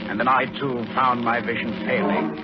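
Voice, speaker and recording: A man's voice: medium pitch, fairly even intonation, normal speed, over loud music, natural voice. An actor playing an earnest spaceship officer who narrates his voyage in a dramatic 1940s radio play, on a muffled old recording. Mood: grave, suspenseful.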